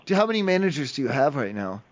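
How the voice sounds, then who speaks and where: A man's voice: mid-range voice, very animated, fast, slight background noise. A man making a prank phone call, rambling and persistently asking questions as if confused. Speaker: prank caller. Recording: muffled lo-fi call recording.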